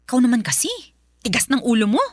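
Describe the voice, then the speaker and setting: A woman playing a cruel, harsh mother in a radio drama, scolding and yelling shrilly. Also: fairly high pitch, fast talker, no echo, clean studio sound, lightly acted. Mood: dismissive. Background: background music.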